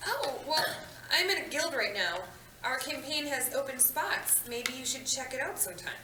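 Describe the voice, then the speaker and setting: A woman's voice: high-pitched, lively intonation, normal speed. A woman playing an awkward, easily exasperated young goth woman at a comedy screenplay table read. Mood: tentative. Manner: exasperated, self-conscious. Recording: echoey meeting room, faint noise.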